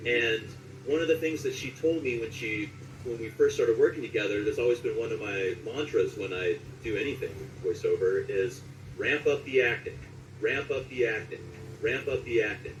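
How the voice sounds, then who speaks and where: Interview guest, male, late forties, mid-pitched conversational storyteller, recorded with noticeable background noise. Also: moderate pace. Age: late 40s. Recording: roomy echo, distant mic, clear background noise.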